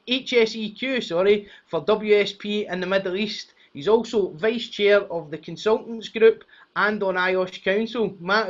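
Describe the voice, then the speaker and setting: A man's voice: high-pitched, a bit bright, dull audio. A man hosting a podcast, giving short upbeat prompts in casual interview style. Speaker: podcast host.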